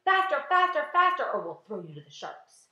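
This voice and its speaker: A woman's voice: big pitch swings, fairly quick, reverberant, dull audio, exaggerated voice. A woman voicing a rude, frantic male centipede who hollers bossy orders in a children's story. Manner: hollering, exhorting, high and forceful.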